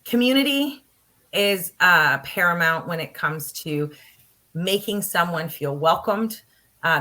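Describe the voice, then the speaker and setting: A woman's voice: on the low side, pushed and bright. A woman college instructor giving a conference talk in an earnest, practical way, with frequent fillers. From Canada. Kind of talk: conference presentation.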